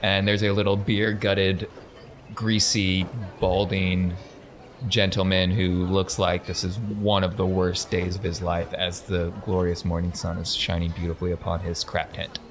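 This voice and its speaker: Male game master, lowish pitch, wry casual scene-setting, faint noise underneath. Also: completely flat delivery, measured pace. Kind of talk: casual tabletop game narration.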